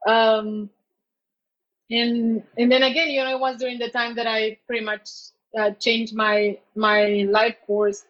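Woman, thirties, high voice, halting casual storytelling, searching for words, dull lo-fi recording. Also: level tone. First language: Spanish. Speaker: interview guest.

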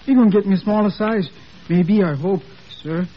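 An actor playing a small, eager young army recruit who talks in halting, artless broken English in a 1950s radio drama. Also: male voice, high voice, husky. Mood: sheepish, eager. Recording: muffled old 1950s radio broadcast.

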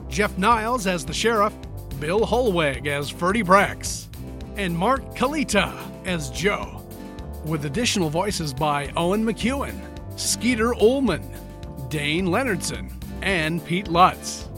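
An American man working as announcer, reading scripted narration for an old-time style radio serial. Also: sits high. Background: loud music.

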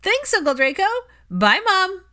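An excitable little boy from a storybook, acted out by a woman narrator. The voice is lifted high and bursts out eagerly, with a pleading, childlike keenness. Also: measured pace, heavily acted. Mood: eager, excited.

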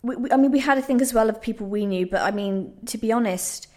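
A woman's voice: on the high side, quick pace, darkish tone. A woman speaking casually and reflectively as an interviewed playwright, with some hesitation. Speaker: playwright and trained actor interviewed.

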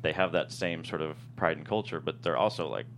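A man being interviewed as a guest, talking casually and explaining things in a halting way full of fillers. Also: a bass voice, fairly bright tone. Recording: noisy room with hum.

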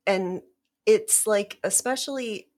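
A woman's voice: medium pitch, slow pace, a little mellow. A woman hosting a tech podcast in a casual interview, bubbly and laughing often, with lots of "like.". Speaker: podcast host.

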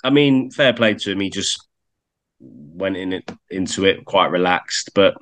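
A man's voice: sits low, a little slow, fairly bright tone. A man co-hosting a podcast, chatting in an easygoing way with stumbling restarts. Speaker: podcast co-host. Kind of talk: casual chat.

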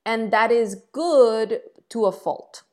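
A woman, a guest on an interview, telling her story in a lively, frank and self-deprecating way. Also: a little high, slow pace. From Colombia. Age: 40s. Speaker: guest, entrepreneur.

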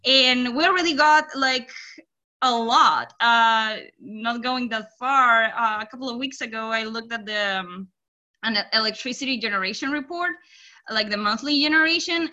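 A woman's voice: fairly high pitch, pushed and bright, muffled recording. A young woman from Chile with a Chilean Spanish accent, talking casually and eagerly as an interview guest, with many fillers. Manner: enthusiastic, many fillers, laughs easily. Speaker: guest, design engineer.

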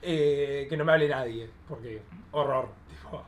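A man's voice: medium pitch, a little slow, over a noisy crowd. A man with an Argentine accent, a drag queen guest chatting casually in a camp, slangy way. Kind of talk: casual chat.

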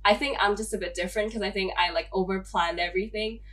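A woman's voice: medium pitch, steady tone, fast talker, fairly bright tone. A young woman from Hong Kong hosting a podcast, chatting casually with lots of "like" fillers and frequent laughs. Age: young adult. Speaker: podcast host. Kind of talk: casual chat.

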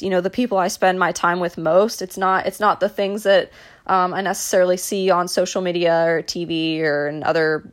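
A young woman from Dallas, hosting a casual solo podcast and talking in a chatty way, with lots of ums and restarts. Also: medium pitch. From Dallas, Texas. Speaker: podcast host, mental performance coach.

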